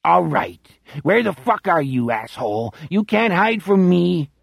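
A man's voice: high-pitched, sing-song, unhurried, vocal fry, slightly rough, no echo, clean studio sound, voice pushed up, exaggerated voice. A man reading an audiobook voices a deranged old male cannibal killer with a Chicago voice, menacing and taunting.

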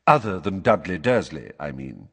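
A composed, courteous wizard played by a male narrator in an audiobook. He is pleasant yet firm, with a husky voice carried on long reverb. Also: low-pitched, sing-song, exaggerated voice. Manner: pleasant, measured, politely firm.

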